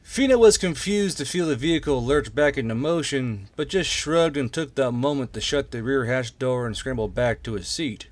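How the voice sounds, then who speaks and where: A man's voice: mid-range voice, moderate pace, few pauses, no echo. A man reading scripted story narration as an audiobook narrator.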